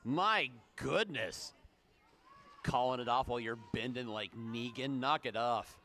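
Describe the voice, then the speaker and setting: A man in his forties doing live play-by-play for roller derby, joking between jams and getting excited during the action, his voice low and tired. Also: unhurried, a little hiss or hum. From United States. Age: 40s. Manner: excitable, jokey, rising to shouts during play. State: tired low voice after a show. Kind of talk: live sports commentary.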